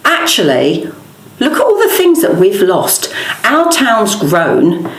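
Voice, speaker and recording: A woman's voice: medium pitch, wildly swooping pitch, slow, roomy sound, rough recording. A middle-aged woman giving conversational interview answers, emphatic and opinionated, listing her points in long runs. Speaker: local campaigner and interviewee.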